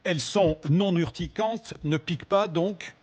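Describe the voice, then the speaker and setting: A man's voice: high voice, unhurried, slightly rough. A man narrating a scripted travel report as a television news reporter. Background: background music.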